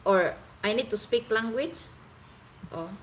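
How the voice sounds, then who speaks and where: A woman's voice: a little high, expressive, takes it slowly, slight background noise. A woman from the Philippines with a Visayan Filipino accent, chatting on a live stream and stopping often to search for words in English. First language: Cebuano. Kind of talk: casual live stream chat with viewers. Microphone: phone.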